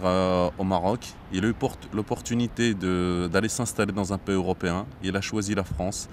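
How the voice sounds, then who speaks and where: A man in his thirties from Morocco, a border police captain, speaking in a measured interview with frequent hesitations. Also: low voice, a little hiss or hum. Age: 30s. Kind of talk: interview monologue. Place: outdoors near traffic.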